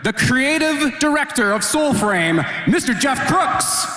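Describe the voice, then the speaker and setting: A man's voice: very high voice, very animated, unhurried. A man announcing panelists on stage at a live event, projecting like a showman. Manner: hyped, projecting showman delivery. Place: large convention hall stage. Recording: echoey hall, loud crowd and noise.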